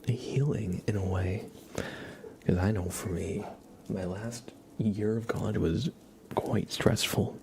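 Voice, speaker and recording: A young adult man speaking softly and gently as an ASMR livestreamer, half whispering as he chats casually to viewers. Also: on the low side, creaky, slight background noise. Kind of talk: soft-spoken ASMR, casual chat with viewers.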